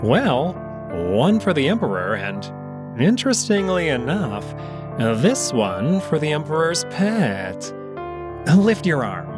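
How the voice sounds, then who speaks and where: Casting: man playing a smug palace jackal, unhurried and formal, with wide swings of intonation and faint music behind. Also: fairly high pitch, sing-song. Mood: wry. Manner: measured, smooth-talking, formal. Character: smug, composed male jackal noble of imperial palace.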